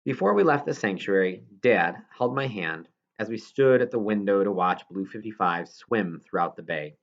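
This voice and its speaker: Male audiobook reader, low pitch, very varied melody, storybook narration, dull lo-fi recording. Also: animated, moderate pace, gravelly. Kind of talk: scripted narration, reading a children's novel aloud.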